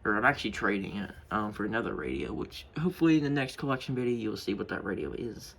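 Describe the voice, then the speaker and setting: A man's voice: lowish voice, lively intonation, quick pace, vocal fry, a bit bright. A man giving a casual, unscripted show-and-tell in an informal, mumbly way, recorded at home. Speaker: hobbyist showing a weather radio collection. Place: room at home. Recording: noisy home recording with clicks.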